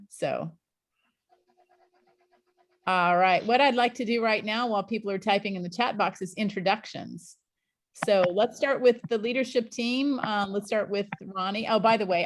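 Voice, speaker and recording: A middle-aged woman and scuba instructor trainer, giving an encouraging, chatty introduction to an online class over a video call. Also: mid-range voice.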